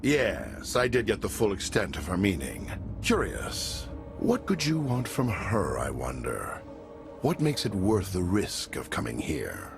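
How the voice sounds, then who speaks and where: Grandiose, low-voiced scheming crime lord. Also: male voice, very animated, unhurried, over music, full character voice. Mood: wary, sly. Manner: grandiose, eloquent, theatrical. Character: scheming, menacing male crime lord and cult zealot. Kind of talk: video game cutscene acting.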